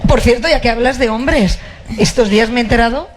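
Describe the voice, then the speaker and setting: A woman's voice: a little high, a little fast, heavy background noise. A woman interviewing an author about her book, attentive and ready with short affirmations.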